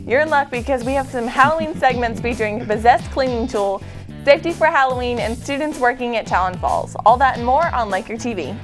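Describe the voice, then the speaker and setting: A teenage girl anchoring a school newscast, reading scripted items brightly and making puns in a studio. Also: on the high side, a little fast, over music. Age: teens. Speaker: student news anchor. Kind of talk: read newscast.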